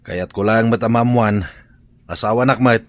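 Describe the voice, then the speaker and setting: A man's voice: on the low side, fairly even intonation, moderate pace, no room sound, close to an everyday voice. A man playing a reasonable, questioning husband in a Filipino radio drama. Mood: questioning, exasperated.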